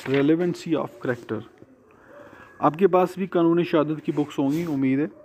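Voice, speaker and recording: Male Pakistani lecturer, somewhat higher-pitched, very unhurried delivery, explanatory and deliberate, addressing students. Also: fairly even intonation, a little mellow, a little hiss or hum. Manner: deliberate, explanatory, repeats and rephrases points, reads English phrases out slowly. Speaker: law lecturer. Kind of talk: exam-preparation lecture to students, mixing Urdu and English.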